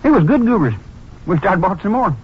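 An actor playing an excitable, naive seventeen-year-old car thief in a 1950s radio drama. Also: male voice, high voice, a little fast. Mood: eager, chummy. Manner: boyish, slangy, jumpy. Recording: muffled, noisy old radio recording.

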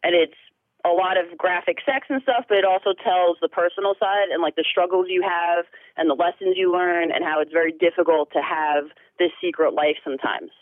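A woman's voice: on the low side, steady tone, a little fast, dry recording. A woman in her forties with a girlish-sounding voice, calling in as a guest and chatting openly in a casual interview. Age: 40s. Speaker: caller and guest. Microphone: phone. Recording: phone line.

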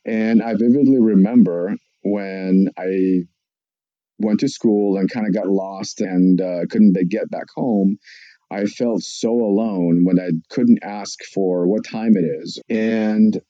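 Male psychologist, low mellow voice, dull dry lo-fi sound. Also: fairly even intonation, no echo. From Russia. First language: Russian. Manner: measured, explanatory, lists points. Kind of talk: podcast interview answer.

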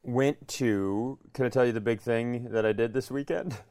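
A man's voice: mid-range voice, moderate pace, a little mellow, no room sound, clean studio sound. A man co-hosting a podcast, telling stories casually with wry exaggeration. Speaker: podcast co-host. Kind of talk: casual comedic storytelling.

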